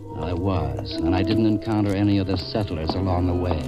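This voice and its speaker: An actor playing a tough, wisecracking police detective in an old radio crime drama, with a clipped, sardonic delivery. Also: male voice, low voice, brisk, muffled recording, lightly acted. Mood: weary narrating. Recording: old radio recording with music.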